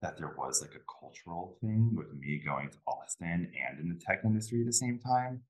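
Young male podcast co-host, extremely low voice, loose rambling delivery. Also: animated, normal speed. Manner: rambling, constant "like" and "kind of". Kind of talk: casual chat.

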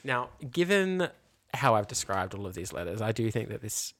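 Australian podcaster, mid-pitched, dry close recording. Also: sing-song, normal speed, dry recording. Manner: mocking, incredulous commentary. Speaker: podcast co-host; junior primary school teacher. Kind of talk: casual comedic chat, reading letters aloud.

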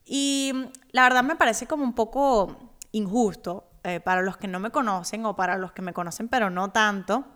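A woman's voice: medium pitch, moderate pace, a bit bright. A young woman from Cuba hosting her own finance podcast, telling her story in an upbeat, personal way. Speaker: podcast host.

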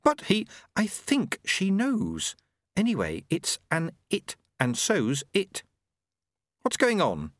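A man's voice: high-pitched, huge pitch swings, slow pace, no room sound, studio quality, pitched above the performer's own voice, full character voice. A man voicing a naive, earnest and unsure male character in an audiobook, speaking hesitantly. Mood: shocked.

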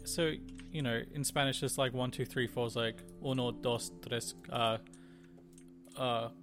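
A man's voice: mid-range voice, steady tone, a little slow, a bit bright, faint music behind. A young man co-hosting a casual podcast, joking and laughing often with disbelief. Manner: laughs often, jokey and incredulous. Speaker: podcast co-host. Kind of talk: casual banter over a game.

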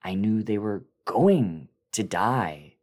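A man voicing a terrified, injured man who is breaking down, halting and anguished, in a breathy, whispering voice for a horror story reading. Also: lowish voice, expressive, a little mellow. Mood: haunted, shaken. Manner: halting, anguished, drawn-out delivery.

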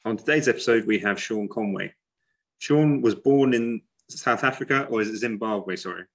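A man's voice: fairly low pitch, moderate pace, highs cut off. A man hosting a podcast interview, asking friendly questions with lots of hesitations and ums. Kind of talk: casual interview.

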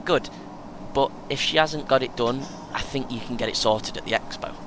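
An actor playing a chatty, self-important young British amateur runner in an audio play, earnest and keen, chuckling often. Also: male voice, normal speed, fairly bright tone, lightly acted, rough recording. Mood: upbeat. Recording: faint vehicle noise behind. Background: vehicle rumble, coach ambience.

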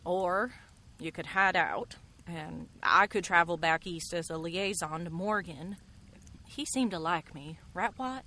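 A woman's voice: lowish voice, measured pace, pushed and bright. A woman playing a resolute frontier woman in a western radio drama, speaking earnestly and directly. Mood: practical, persuasive. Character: frank resolute frontier woman, the fugitive's companion.

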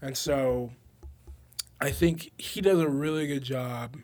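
A man's voice: medium pitch, slow, darkish tone. A young man co-hosting a podcast, chatting casually about music with slangy, informal phrasing and some swearing. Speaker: podcast co-host.

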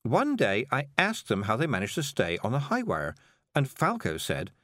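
A man voicing a cheerful, patient woman witch who gently explains things, in an audiobook. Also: medium pitch, huge pitch swings, moderate pace, no echo, studio quality. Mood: reminiscing. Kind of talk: audiobook character voice.

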